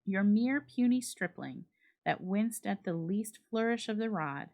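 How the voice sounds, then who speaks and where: A woman narrating a classic short story as a dramatic literary reading, in a measured, expressive storytelling manner. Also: mid-range voice.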